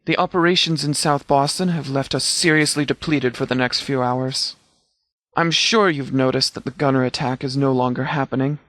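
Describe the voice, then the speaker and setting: A man voicing a stern, commanding sheriff who leads a wasteland militia in a video game, speaking in a formal, measured, authoritative way. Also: medium pitch, steady tone, fairly bright tone, no echo, close to an everyday voice. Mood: sober briefing. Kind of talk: scripted video game dialogue.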